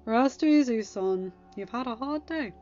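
A woman voicing a calm, kindly older male hermit mentor in a gentle, musing manner for a story reading. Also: on the high side, measured pace. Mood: kindly, reassuring. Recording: dull lo-fi recording with music behind.

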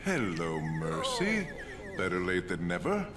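An actor playing a smug, arrogant bald male criminal mastermind with a deep, sneering voice. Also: huge pitch swings, unhurried, exaggerated voice. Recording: game audio over loud music.

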